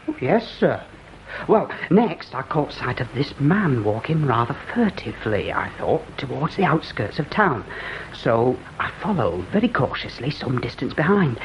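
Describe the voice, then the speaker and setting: A man's voice: mid-range voice, sing-song, measured pace, a little hiss or hum. Guileless english copper reporting to his boss, awkward n respectful, voice up n down, bit muffled radio drama. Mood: earnest reporting. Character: earnest, deferential male police subordinate, guileless and awkward. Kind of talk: British radio comedy-mystery acting.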